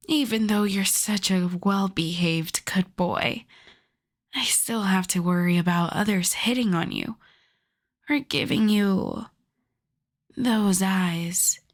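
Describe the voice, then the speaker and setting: Woman doing a yandere wolf girl asmr, soft whispery breathy voice, super slow cooing, possessive and creepy sweet. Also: mid-range voice. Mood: smug, possessive. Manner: slow, cooing, lingering over endearments. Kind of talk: intimate ASMR roleplay.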